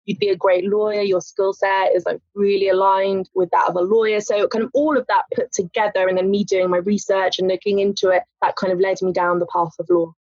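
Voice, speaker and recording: A woman's voice: mid-range voice, little pitch movement, fairly quick, hardly any pauses, a little mellow, no room sound. Chatty woman, muffled dry audio. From England. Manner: chatty, with light laughs and frequent "you know" fillers. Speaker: lawyer and podcast guest. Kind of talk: casual interview conversation.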